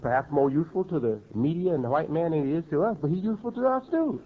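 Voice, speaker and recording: A man's voice: fairly high pitch, lively intonation, quick pace, narrow, tinny audio. A man preaching a sermon in an emphatic way, repeating and rephrasing his words as he builds each point.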